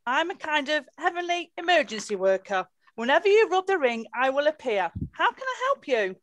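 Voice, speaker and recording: A woman's voice: high voice, sing-song, fairly quick, fairly bright tone. A woman playing a helpful, down-to-earth pantomime spirit, reading matter-of-factly. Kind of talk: script read-through on video call. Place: room at home, video call.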